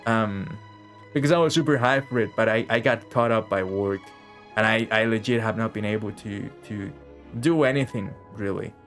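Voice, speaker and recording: A man's voice: on the low side, moderate pace, soft background music. A man chatting casually on a game live stream, rambling and repeating phrases as he thinks aloud.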